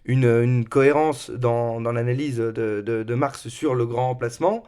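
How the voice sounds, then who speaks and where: A man's voice: medium pitch, fairly even intonation, unhurried, dark tone, clear, resonant tone. A man hosting a radio interview, who rephrases his questions hesitantly as he speaks. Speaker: radio host.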